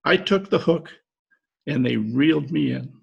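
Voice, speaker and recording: An actor playing a gullible, jilted fisherman from Maine in a radio play, halting and rueful, with a gravelly, husky voice. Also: fairly high pitch, lively intonation, dull audio, heavily acted. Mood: aggrieved. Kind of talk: old-time radio drama acting. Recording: remote home recording over video call.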